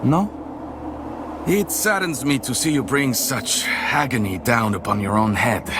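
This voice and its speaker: An actor playing a self-important, condescending nobleman in a dark fantasy game, with a flowery, theatrical delivery. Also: mid-range voice, measured pace, background noise, close to an everyday voice. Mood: wheedling, condescending.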